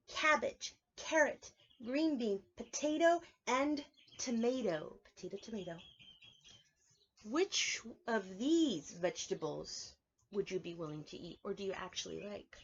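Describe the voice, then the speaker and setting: A woman preschool teacher reading aloud and explaining things to young children, clearly emphasizing key words in an instructive way. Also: fairly high pitch, fairly bright tone, lo-fi sound. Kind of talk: children's read-aloud lesson with explanations and questions.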